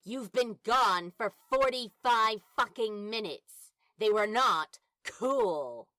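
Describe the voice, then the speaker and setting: A woman's voice: a little high, animated, slow pace, pushed and bright, full character voice. A woman voicing a small, fierce, bossy bar boss with a blunt, sweary, demanding manner in a fan fiction audio drama. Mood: seething.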